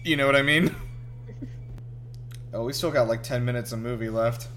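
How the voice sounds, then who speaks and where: A man's voice: medium pitch, fairly even intonation, normal speed, a bit bright, a little hiss or hum. A man hosting a casual group movie review chat in a sarcastic, sweary way. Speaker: host of a movie reaction show.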